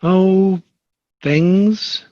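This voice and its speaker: A man's voice: sits high, extremely slow, warm tone, highs cut off. A man playing a jokey suburban sitcom dad in an amateur table read. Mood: upbeat, teasing.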